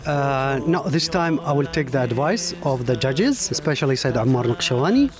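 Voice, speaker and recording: A man from Pakistan and Iraq who does not speak English as a first language, answering a host's questions as a competition contestant in an earnest, polite, halting way. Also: medium pitch, expressive.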